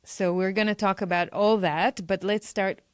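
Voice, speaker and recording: A woman's voice: mid-range voice, steady tone, moderate pace, smooth, dry recording, clean studio sound. A woman hosting a podcast interview, speaking with enthusiasm and affection toward her guest. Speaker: podcast host.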